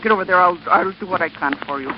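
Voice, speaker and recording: A woman's voice: fairly low pitch, quick pace, natural voice. An actress playing a tough, brusque woman in an old radio thriller. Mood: brusque, commanding. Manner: brusque, commanding. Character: tough, bold Spanish-speaking woman who hates cops. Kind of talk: 1940s radio acting. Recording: noisy, muffled old radio recording.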